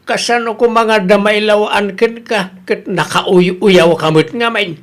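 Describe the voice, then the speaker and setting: A man's voice: sits high, steady tone, unhurried, a bit bright. A man with a Filipino accent playing a father who chides and banters with his grown child in a comedic radio drama. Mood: chiding.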